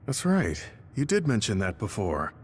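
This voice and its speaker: Calm, low-pitched male squadmate. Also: moderate pace, a little hiss or hum. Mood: reassuring. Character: level-headed male squadmate, calm and observant soldier. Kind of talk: video game cutscene acting.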